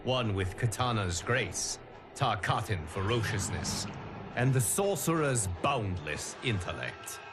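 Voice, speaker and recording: An actor playing a solemn guardian of timelines, narrating a video game story in a formal, measured, dramatic manner. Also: male voice, fairly low pitch, expressive. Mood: grave, ominous. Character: solemn male guardian of timelines addressing his champions. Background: music.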